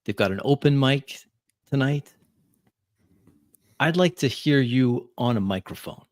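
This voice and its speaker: A man playing a smooth, flattering biker who speaks haltingly and coaxingly in a tabletop roleplaying game. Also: medium pitch, dry recording, pitched above the performer's own voice, close to an everyday voice. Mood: eager persuasion. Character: smooth, coaxing male biker courting a singer.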